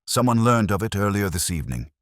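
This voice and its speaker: A man voicing a shrewd, commanding Victorian detective with measured, formal speech in an audiobook story. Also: on the low side, very animated, a bit bright, dry recording, studio quality, voice pushed up. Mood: shrewd, brisk. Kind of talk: audiobook character dialogue.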